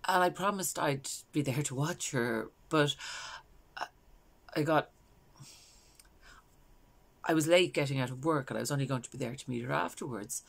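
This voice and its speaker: A woman with an Irish accent playing a grieving working mother in a stage monologue, confiding in a halting, understated way. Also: sits low, vocal fry, exaggerated voice. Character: grieving working mother haunted by her loss. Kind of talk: dramatic stage monologue, naturalistic.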